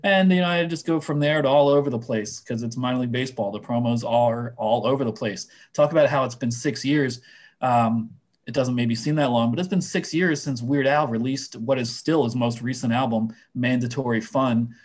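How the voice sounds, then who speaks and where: A man whose voice is going hoarse, chatting casually on a sports podcast with lots of fillers and restarts. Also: medium pitch, normal speed, darkish tone. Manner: rambling, many fillers and restarts. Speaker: minor league baseball writer guest.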